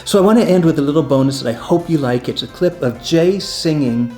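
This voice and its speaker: A man's voice: a little high, expressive, a little fast, a little mellow, soft background music. A man telling park history stories in a casual, conversational, enthusiastic way. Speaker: presenter and storyteller. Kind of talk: casual storytelling about theme park history.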